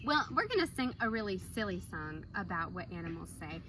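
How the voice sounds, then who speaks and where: A woman's voice: lowish voice, fairly quick. Storytime lady, playful singsong w a little kid, mic far off outside, noisy background w animals. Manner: playful, sing-song, animated with silly animal noises. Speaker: children's library storytime host. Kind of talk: singing and chatting to a young child. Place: outdoors on a blanket in a wooded yard. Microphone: camera a few metres away. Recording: distant mic outdoors with clear background noise. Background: outdoor ambience, animal sounds.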